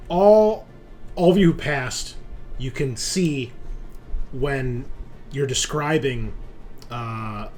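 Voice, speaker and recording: A man working as game master, narrating a roleplaying game in an informal, halting way with some swearing. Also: medium pitch, darkish tone, soft background music.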